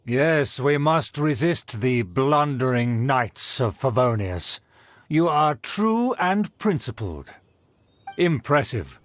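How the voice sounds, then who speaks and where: A man's voice: mid-range voice, sing-song, slow, no room sound. An actor playing a pompous, haughty nobleman of a fallen clan in a video game, speaking in formal, old-fashioned phrases. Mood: haughty, approving.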